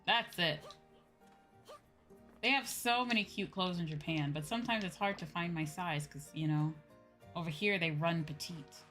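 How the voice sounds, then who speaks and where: A woman with a fairly low voice streams a game and chats with her viewers. Her talk is informal, slangy and loosely rambling. Light game music plays behind her. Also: normal speed, fairly bright tone. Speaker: livestreamer, VTuber host. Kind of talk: casual chat while playing a game, answering viewers.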